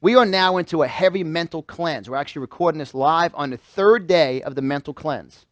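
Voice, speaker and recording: High-voiced, emphatic male motivational speaker. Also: fairly quick, dry recording, highs cut off. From New York, United States. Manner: emphatic, repeats phrases and fires questions at listeners. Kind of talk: motivational seminar talk to a live audience. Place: live event room with a small audience.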